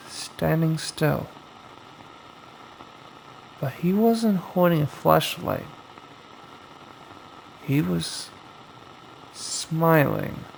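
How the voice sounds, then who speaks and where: A man narrating a scripted horror story in a dramatic way, with suspenseful pauses. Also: a little high, gravelly, a little hiss or hum, poor audio.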